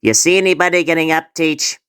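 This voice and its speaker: A man's voice: a little high, steady tone, a little fast, a bit bright, clean tone, no room sound, studio quality, natural voice. A man voicing an insolent, flippant schoolboy troublemaker in a comic dub. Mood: cheeky. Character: cheeky, wisecracking schoolboy troublemaker. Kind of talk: comic fandub acting.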